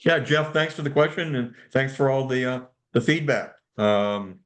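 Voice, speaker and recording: A man's voice: medium pitch, fairly bright tone. Man moderating a technical call. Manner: halting, repeats words. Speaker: club conference call moderator. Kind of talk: casual technical discussion. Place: remote conference call. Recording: conference call audio.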